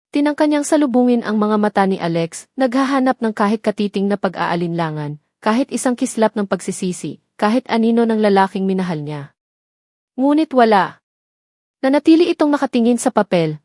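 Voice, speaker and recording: A woman's voice: medium pitch, a little mellow, no room sound, studio quality. A woman narrating a scripted romantic drama story in a solemn, dramatic manner.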